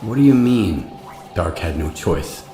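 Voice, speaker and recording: An actor playing a guarded, determined wanderer hero in a video game, blunt and questioning. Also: male voice, sits low, sing-song, unhurried, mellow, reverberant, faint music behind. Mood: confrontational. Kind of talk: video game cutscene acting.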